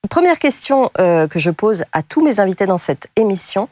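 A woman's voice: mid-range voice, big pitch swings, normal speed, a little mellow, no room sound. A woman hosting a radio interview and presenting a guest. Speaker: host.

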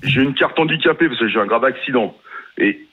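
An older man in his sixties with a Parisian accent, calling in to a radio show. He complains emphatically and keeps talking over the host. His voice has a husky, slightly rough edge and comes through a phone line. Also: medium pitch, lively intonation, fairly quick. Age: 60s. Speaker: caller. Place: home near Paris.

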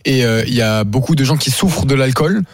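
A man's voice: medium pitch, animated, brisk. A young man in his twenties speaking casually on a French TV panel, hesitating often, with his voice partly gone. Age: 20s. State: losing his voice. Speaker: panel guest.

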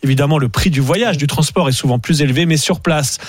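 A man's voice: fairly high pitch, no echo. A man gives a radio report packed with statistics, with a slightly raised voice. He breaks his sentences up with a casual "hein". The sound is dry and close to the microphone. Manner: reads figures briskly, tags sentences with "hein".

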